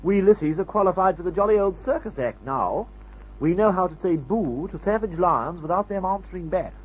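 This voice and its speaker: Posh english silly-ass pilot, high voice, swoops about, flippant and breezy, old radio show. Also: male voice, animated, a little fast, breathy edge. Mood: jaunty boasting. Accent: upper-class English. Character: jaunty, flippant upper-class English airman, given to exaggeration. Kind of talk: mid-20th-century radio adventure serial acting. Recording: muffled old radio serial recording.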